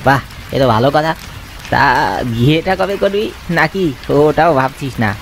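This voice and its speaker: A man's voice: fairly high pitch, a little slow. A man playing a young village friend in a horror cartoon, chatty, casual and matter-of-fact. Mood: friendly teasing. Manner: casual, conversational. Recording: rain and thunder noise behind.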